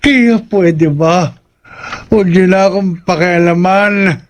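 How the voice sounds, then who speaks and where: A man as a bitter, high-pitched grieving drunk. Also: slow pace. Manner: agitated, brusque. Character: grieving, drunken, bitter father wanting solitude. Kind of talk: horror audio drama acting.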